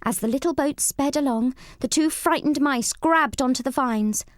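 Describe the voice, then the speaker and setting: A woman narrating a children's storybook read-along in an expressive, scripted style. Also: high-pitched, moderate pace, dry recording, studio quality.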